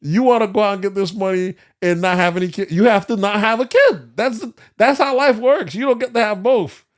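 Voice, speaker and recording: Male podcast co-host, slightly high, crisp voice. Loose, profane, opinionated, slangy talk that wanders. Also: a little fast. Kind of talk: casual opinionated podcast chat.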